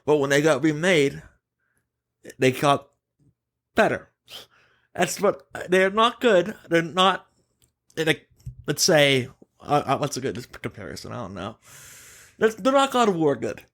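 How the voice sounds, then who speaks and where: A man hosting a casual gaming podcast, giving opinions emphatically in a halting, self-correcting way. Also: on the high side, sing-song, fairly bright tone. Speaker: podcast host.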